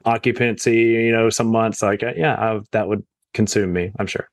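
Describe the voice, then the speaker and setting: A man's voice: lowish voice, fairly even intonation, normal speed, no echo. A man talking casually as a guest on a real estate podcast, leaning on fillers like "you know" and "right?".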